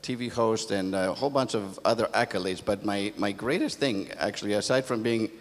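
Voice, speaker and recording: A man's voice: fairly low pitch, brisk. An older man from Greece who moved to America, giving a motivational talk in conversational, storytelling phrases. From born in Athens, Greece, moved to America as a child. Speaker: conference speaker, positive psychologist. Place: theatre stage. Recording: echoey hall, long reverb.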